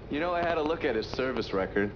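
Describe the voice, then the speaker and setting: Game casting: wry, cynical American intelligence veteran, male actor. Mid-pitched, needling, dulled sound over vehicle noise. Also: natural voice. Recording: muffled, with loud vehicle noise.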